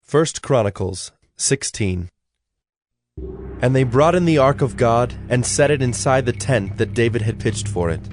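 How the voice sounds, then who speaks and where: A man's voice: medium pitch, animated, a little mellow. Solemn male scripture narrator over music. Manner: formal, measured, solemn.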